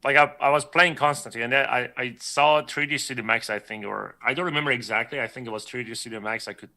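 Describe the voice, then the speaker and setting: Male guest from Iran, bright forceful tone, loose rambling storyteller. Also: medium pitch, fast talker. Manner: rambling, self-correcting storyteller, laughs often. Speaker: guest artist. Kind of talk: casual livestream chat.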